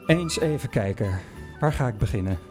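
A man's voice: mid-range voice, lively intonation, normal speed, lightly acted. A man playing a hapless, bumbling park employee who narrates a comic live audio play in a hesitant way. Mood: sheepish. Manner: hesitant, bumbling, echoes what he is told. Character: hapless, unqualified young male holiday park employee. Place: theatre stage before live audience. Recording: music behind, live theatre stage.

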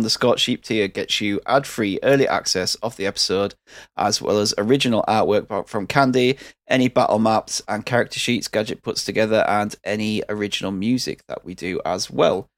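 A man's voice: lowish voice, no room sound. A man co-hosting a podcast, reading a sponsor plug with dry wisecracks. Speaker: podcast co-host. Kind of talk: casual chat.

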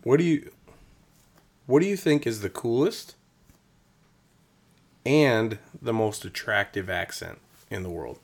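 A man's voice: fairly low pitch, slow, gravelly, pauses often, darkish tone. A man from Indiana with a slight Southern accent, co-hosting a podcast, telling stories and asking his co-host questions. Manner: upbeat storyteller, asks his co-host questions. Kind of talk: casual chat.

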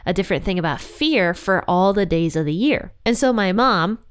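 A woman's voice: mid-range voice, expressive, moderate pace, clean tone. Congested woman hosting a Bible podcast. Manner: chatty and conversational, addresses listeners directly. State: congested with a cold. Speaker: podcast host. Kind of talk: casual Bible devotional podcast.

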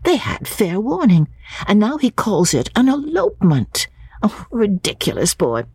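A woman voicing a fond, scolding young wife in a dramatic audiobook reading, brisk and exclamatory. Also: medium pitch, wildly swooping pitch, a little mellow, breathy edge, no echo, voice pushed up, heavily acted. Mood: exasperated. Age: young adult.

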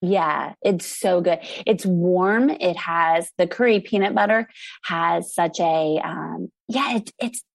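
A woman's voice: on the low side, vocal fry, no echo. An American woman talking casually as an interview guest, enthusiastic and chatty. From United States, grew up in the country. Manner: enthusiastic, chatty, quick to laugh. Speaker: interview guest, food truck operator.

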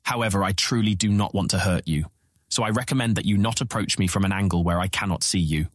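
An actor playing a courteous, watchful prison guard in a fantasy audiobook, speaking in formal, measured, firm phrases. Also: male voice, sits low, no room sound, studio quality. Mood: polite, wary. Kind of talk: full-cast fantasy audiobook acting.

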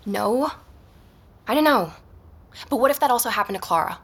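A woman's voice: on the high side, lively intonation, moderate pace, a little hiss or hum. An actress playing an anxious, insistent young woman in an audio drama, pressing her point urgently. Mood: uneasy. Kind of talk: naturalistic audio drama dialogue.

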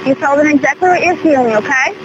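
A high-pitched woman speaks in distress on an emergency call, urgently reassuring someone and repeating her pleas. The phone audio is dull and muffled, with very loud ambience and vehicle noise around her. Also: quick pace. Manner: pleading, repetitive, urgently reassuring. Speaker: bystander calling emergency services. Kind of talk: frantic emergency call, comforting an injured person.

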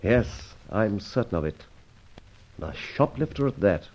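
A brisk, middle-aged gentleman sleuth in an old radio serial. His delivery swings freely in pitch as he muses and exclaims, on a dull, muffled recording. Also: lively intonation. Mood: reflective, certain.

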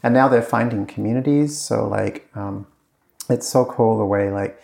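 A man with a heavily gravelly, creaky voice pitched on the low side. He chats casually in an interview, rambling through his points with fillers and restarts. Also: moderate pace, a little mellow. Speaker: course creator being interviewed. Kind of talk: casual conversation.

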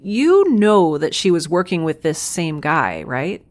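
A woman's voice: low-pitched, big pitch swings, a little slow. A woman playing a reluctant, uneasy former corporate agent, speaking casually with some hesitation. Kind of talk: audio drama acting.

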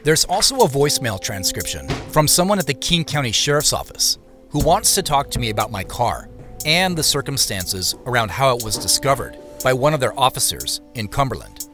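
An actor playing the inner narrating voice of a wary man, giving measured scripted narration in an audio drama. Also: mid-range voice, big pitch swings, music in the background, natural voice. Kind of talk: scripted first-person present-tense narration.

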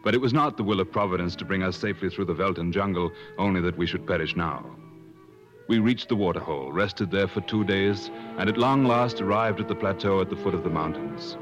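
An actor playing an earnest gentleman adventurer who also narrates, in 1950s radio drama style. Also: deep voice, fairly quick, lightly acted. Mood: solemn narrating. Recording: muffled old 1950s radio broadcast, music.

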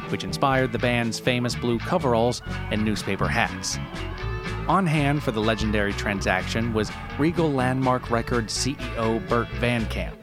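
A man reading scripted documentary-style narration in a formal, deadpan manner. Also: fairly low pitch. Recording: guitar music under the voice. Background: plucked guitar music.

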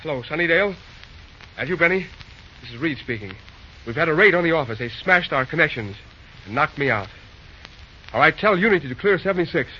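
Actor as dazed young dispatcher, noisy old radio. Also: male voice, a little high, muffled recording, close to an everyday voice. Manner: halting, groaning, dutiful. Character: dazed but dogged young male train dispatcher. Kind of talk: old-time radio drama acting.

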